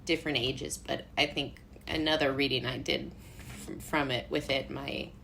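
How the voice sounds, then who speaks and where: Young woman, low register, talkative and a bit goofy, as a theatre guest in relaxed conversation. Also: normal speed, vocal fry, background noise. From Brooklyn, New York. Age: young adult. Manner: self-described goofy, chatty with frequent "like". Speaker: interview guest, playwright and actor. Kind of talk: casual interview chat.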